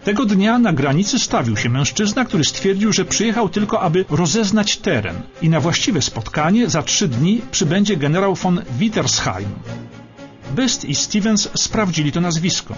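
A man narrates a dramatic scripted documentary for radio. His voice is on the higher side and swings widely in pitch, with emphatic, suspenseful phrasing. The sound is slightly dull, with music behind. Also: sing-song, moderate pace.

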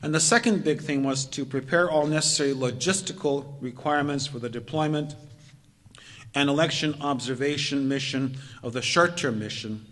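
An older man speaks steadily from a podium microphone, laying out his points one after another. His voice sits at an ordinary middle pitch. It carries a long reverb from the community hall around him. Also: a bit bright. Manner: measured, methodical recounting. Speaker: presenter. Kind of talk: lecture presentation. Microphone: podium microphone, far camera.

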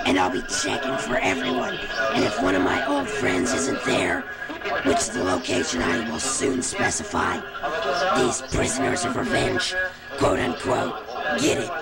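Man acting a gravelly, menacing kidnapper over music. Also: lowish voice, heavily acted. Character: menacing vengeful male Vietnam veteran kidnapper. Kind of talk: ransom note read as voice-over.

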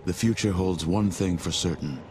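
An actor playing a solemn, measured war chronicler narrating a post-apocalyptic game. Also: male voice, sits low, darkish tone, slight background noise, natural voice. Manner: grave, measured storyteller delivery. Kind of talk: scripted game cutscene narration. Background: music.

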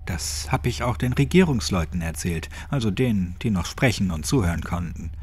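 A man's voice: sits low, expressive, moderate pace, darkish tone, slightly rough. A man voicing an elderly, quirky retired gardener in a horror audiobook, chatty, colloquial and grimly cheerful. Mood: wry, rambling. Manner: folksy, chatty, colloquial rambling.

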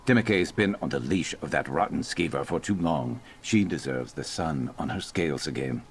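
An actor playing a gruff, vengeful lizard-man companion in a video game, wry but earnest, speaking in a measured, brooding way. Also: a bass voice, slight background noise. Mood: urgent, resolute. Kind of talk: video game character dialogue.

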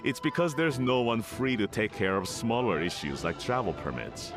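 A man's voice: mid-range voice, sing-song, moderate pace, music in the background. A man voicing a harried guard in a video game, weary and officious but polite. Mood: defensive, explanatory. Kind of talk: video game character acting.

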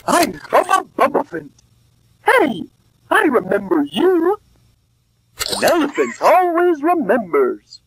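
Elephant mascot, knowing, eerie, deliberate, very varied pitch. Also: male voice, wildly swooping pitch, noisy background, full character voice. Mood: friendly, knowing. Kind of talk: video game character voicelines.

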